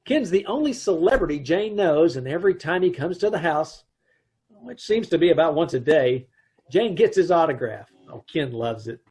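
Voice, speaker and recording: A man's voice: fairly high pitch, animated, moderate pace, darkish tone, husky. A man playing a dry, wry husband who narrates the story, in old-time radio comedy style, from home on a video call.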